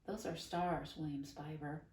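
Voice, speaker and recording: A woman's voice: low voice, steady tone, a little slow, a little mellow. A woman voicing an earnest, skeptical young girl with an urgent manner, read aloud in a roomy space from a distance. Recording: roomy, distant mic, faint hiss.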